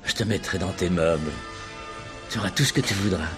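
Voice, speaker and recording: Music plays behind a smitten, respectable bachelor, played by a male actor. His voice is slightly low, and he speaks earnestly and timidly, stammering a little, as in a radio play. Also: fairly quick, natural voice. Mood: amorous, eager. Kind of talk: French radio play acting.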